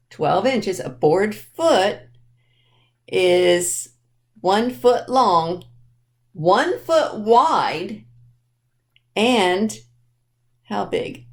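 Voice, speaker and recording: A woman presenting a children's storytime lesson in simple words, spelling things out deliberately and checking understanding with questions. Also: mid-range voice.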